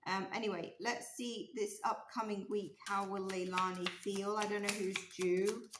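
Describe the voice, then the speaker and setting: A woman's voice: mid-range voice, steady tone, moderate pace, noisy background. A woman giving a casual tarot reading, musing aloud with many ums and restarts, her voice a little strained. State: losing her voice. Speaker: tarot reader. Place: indoors at a table with candle and cards. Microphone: overhead camera, hands only. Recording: roomy echo.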